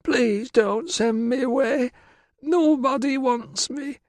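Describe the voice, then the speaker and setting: A man narrator plays a silly, excitable young girl in an audiobook. The voice is pushed high, gushing and whiny, and always insistent. The sound is close and dry. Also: fairly quick, dry recording. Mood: pleading. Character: silly, pleading, excitable teenage British girl. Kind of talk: audiobook character voice.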